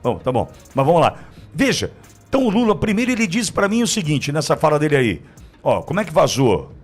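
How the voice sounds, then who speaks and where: A man's voice: a little high, sing-song, fairly quick, husky, faint music behind. A man with a Brazilian accent hosting a political talk show, commenting in a sarcastic, mocking way full of rhetorical questions. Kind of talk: opinionated political commentary, conversational.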